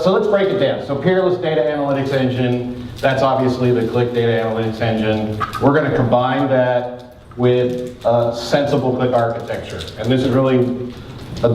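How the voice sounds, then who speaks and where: Fast-talking man with a big voice in an echoey hall. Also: medium pitch, far from the mic, a little hiss or hum. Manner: fast-talking, joking, energetic. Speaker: conference presenter. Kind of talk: live conference talk. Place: conference hall with audience.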